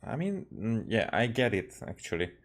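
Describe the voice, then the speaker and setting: A man's voice: on the low side, sing-song, moderate pace. A man giving casual game commentary as a streamer, hesitant and searching for his words as he explains.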